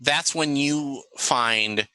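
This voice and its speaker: A man running a tabletop role-playing game, improvising narration and haltingly thinking aloud as he goes. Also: medium pitch, sharp tone, clean tone, no room sound, low-quality recording. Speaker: game master.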